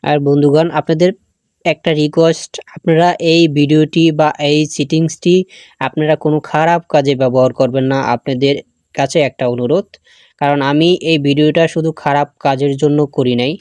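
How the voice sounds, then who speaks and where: A man's voice: fairly high pitch, fairly even intonation, brisk, darkish tone, clean tone, no room sound. A man hosting a step-by-step phone app tutorial, talking directly to viewers and often repeating his phrases. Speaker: tech tutorial host.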